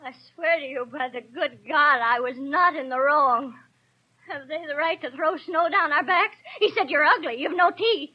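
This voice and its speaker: A woman's voice: high-pitched, moderate pace, natural voice. An actress playing a desperate, destitute young street woman, pleading and protesting in a 1930s-style radio drama. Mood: pleading, distraught. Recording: muffled 1930s radio broadcast.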